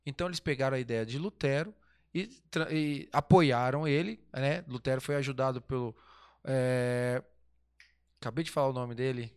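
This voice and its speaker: A man's voice: mid-range voice, normal speed, smooth. A man telling history, rambling and restarting, asking himself rhetorical questions. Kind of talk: casual history chat.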